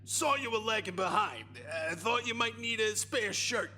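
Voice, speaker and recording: An actor voicing a creepy, leering gym teacher in a video game, smarmy and insinuating. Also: male voice, high-pitched, normal speed, lightly acted.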